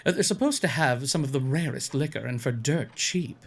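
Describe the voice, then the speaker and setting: A man's voice: mid-range voice, expressive, fairly quick, natural voice. A man playing an enthusiastic, curious, teasing bard in a fantasy audio drama. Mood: fascinated. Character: excitable, curious male bard, a tavern patron.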